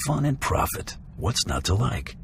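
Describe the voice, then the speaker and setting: A man's voice: mid-range voice, very animated, measured pace, gravelly, fairly bright tone, a little hiss or hum, exaggerated voice. An actor playing a confident, curt and businesslike male bounty hunter in a video game. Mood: dry, amused. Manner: terse, cocky, businesslike.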